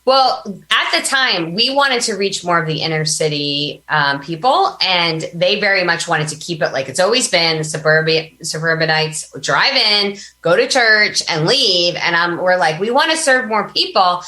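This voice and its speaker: A woman's voice: on the low side, lively intonation, normal speed, few pauses, bright, forceful tone, reverberant. An American woman speaking as a podcast guest, telling personal stories in an informal, emphatic conversational way. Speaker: podcast guest, business coach. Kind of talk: conversational interview, personal anecdotes.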